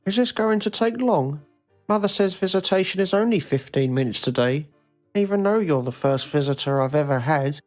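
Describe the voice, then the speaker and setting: A woman's voice: low voice, animated, moderate pace, darkish tone, soft background music. A woman playing a sheltered, childlike forty-two-year-old man who lives with his mother, naive and fussy, in a game. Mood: impatient, naive. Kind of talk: scripted indie game dialogue.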